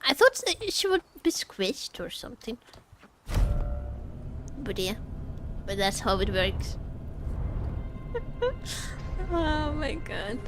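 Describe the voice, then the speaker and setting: Gushing female streamer, slightly high voice. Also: very animated, slow, pauses often, noisy background. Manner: gushing, giggly, thinks aloud with repeated phrases. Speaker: livestreamer. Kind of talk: casual live game reaction commentary. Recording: game music behind the voice.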